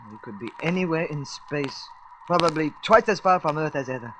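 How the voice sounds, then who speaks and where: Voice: mid-range voice, expressive, a little fast, fairly bright tone, slight background noise, close to an everyday voice, poor audio. A performer playing an earnest, level-headed scientific space traveller in a radio serial, with precise, formal phrasing. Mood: grave, candid. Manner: precise, formal, insistent phrasing without contractions.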